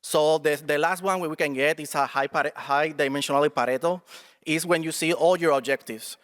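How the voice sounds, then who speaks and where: A man presenting a research project at a student competition, his voice a bit higher than most men's. He talks haltingly, with plenty of "like" and "uh" and many restarts. The sound carries a long echo from a large hall. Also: a bit bright. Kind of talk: technical pitch and answering questions.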